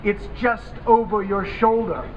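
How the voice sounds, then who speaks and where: A crazed, vindictive scientist played by a man reading aloud rants and gloats in a high-pitched, theatrical voice. He taunts and menaces like a horror story villain. The live recording is dull, with clear background noise. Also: a little slow. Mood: menacing, gloating. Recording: dull, noisy live event camera audio.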